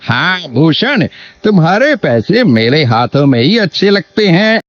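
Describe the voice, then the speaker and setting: Slow, sly greedy village landlord played by a man. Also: a little high, sing-song. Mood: gloating. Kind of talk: Hindi cartoon moral story dub. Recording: dull lo-fi audio with background music.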